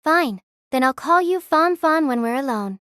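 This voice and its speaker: A woman playing a cool, deadpan young woman senpai who talks in a flat, demanding way, in an audio drama. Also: high voice, normal speed, no room sound, clean studio sound. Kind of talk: amateur audio drama acting.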